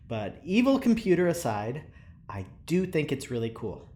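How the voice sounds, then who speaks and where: An actor playing a tired but eager, easygoing young male researcher in an audio drama, chatty, expressive and a little hesitant. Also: medium pitch, a little hiss or hum. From New Jersey. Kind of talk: naturalistic audio drama acting.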